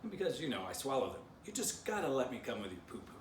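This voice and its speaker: An excitable storybook dog played by a man, rambling and begging with very lively swings in pitch. He is eager and pleading. The recording is roomy and distant, with clear background noise. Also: fast talker, lightly acted. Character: excitable, pleading, window-loving male pet dog. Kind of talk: children's storybook character voice. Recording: distant mic, roomy, noisy.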